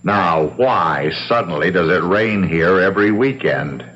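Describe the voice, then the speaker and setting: An actor playing a breezy, needling company boss in a 1970s radio drama, with a dry, brisk, teasing manner. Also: male voice, sits low, animated, a bit bright. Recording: dull, faintly noisy 1970s radio broadcast.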